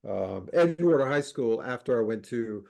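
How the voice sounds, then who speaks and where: A middle-aged man from Colombia talks as a podcast guest in a loose, relaxed way, with plenty of fillers and easy laughter. His voice sits at an ordinary, mid pitch. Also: moderate pace, vocal fry. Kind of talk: casual interview chat.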